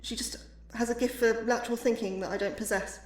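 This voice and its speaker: An actress playing an earnest, anxious astrobiology lecturer with a proud streak, in an audio drama. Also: female voice, a little high, fairly even intonation, fairly quick, close to an everyday voice. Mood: measured, guarded. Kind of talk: scripted audio drama dialogue.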